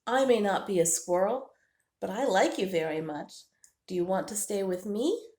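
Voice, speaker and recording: A woman's voice: medium pitch, moderate pace, darkish tone, lightly acted. A woman voicing a kindly, protective man in a children's storybook, patiently explaining and reassuring. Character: kindly man, gentle, protective nature guide to a squirrel. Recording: roomy, echoing indoor sound.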